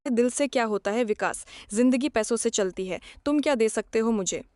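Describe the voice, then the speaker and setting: A woman's voice: fairly high pitch, fairly quick, darkish tone, dry recording, natural voice. Woman doing a stuck-up rich young bride for a cartoon, whiny n disdainful, very dry sound. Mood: bitter, annoyed. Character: spoiled, haughty young rich bride from Dubai, complaining. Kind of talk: dramatised moral story for cartoon animation.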